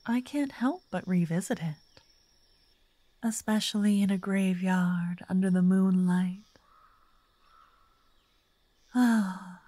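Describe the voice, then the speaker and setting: A woman playing a wealthy, romantic vampire writer in an intimate ASMR roleplay, speaking softly and breathily, often whispering, with an elegant, flirtatious manner. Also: fairly low pitch, dry recording. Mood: tender, nostalgic. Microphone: close microphone in a dry, quiet space.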